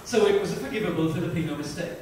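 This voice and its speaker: A man's voice: fairly high pitch, racing, darkish tone. A man giving a theatrical reading of scripted story narration. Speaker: reader at a dramatic book reading. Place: club lounge, indoors. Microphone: distant microphone. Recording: very echoey room, distant mic, faint noise.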